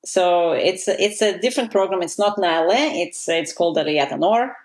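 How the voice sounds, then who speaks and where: A woman's voice: lowish voice, normal speed. A woman, a guest in an interview, talking casually with many fillers and restarts. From Israel. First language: Russian.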